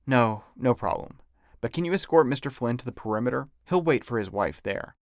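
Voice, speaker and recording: A man's voice: medium pitch, expressive, a little fast, vocal fry, dry recording, studio quality. A man reading an audiobook as a stern, suspicious male agent with a curt, authoritative manner. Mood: weary, softened.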